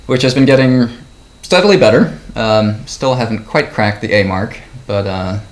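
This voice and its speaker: Low-voiced man, thoughtful guest. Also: poor audio. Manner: thoughtful, measured, uses fillers. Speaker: swimming club president. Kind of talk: conversational TV interview.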